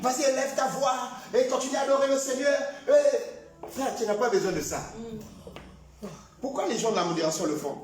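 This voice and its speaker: A male pastor preaching in a very high, strained voice that often rises to a shout. He is heard from a distance in a big, echoey hall, with the sound ringing around the room. He acts out sample prayers as he speaks. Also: moderate pace. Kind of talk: sermon.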